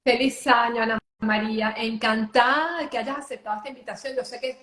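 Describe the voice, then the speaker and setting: A woman's voice: a little high, steady tone, brisk, fairly bright tone. A woman with a Venezuelan accent, a psychologist hosting a live online show in an upbeat, welcoming way. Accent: Venezuelan Spanish. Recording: roomy echo, faint background noise.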